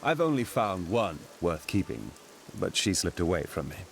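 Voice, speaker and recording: Flirty rogue game sidekick, lowish but really swoopy delivery, faint rain. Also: male voice, big pitch swings, moderate pace, gravelly, a little hiss or hum, full character voice. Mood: playful, evasive. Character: roguish, flippant womanizing male adventurer. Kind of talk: fantasy video game acting.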